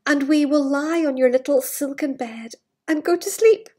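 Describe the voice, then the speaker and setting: A woman voicing a small, politely insistent male frog in a storybook, pleading in a drawn-out singsong. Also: high voice, mellow, natural voice.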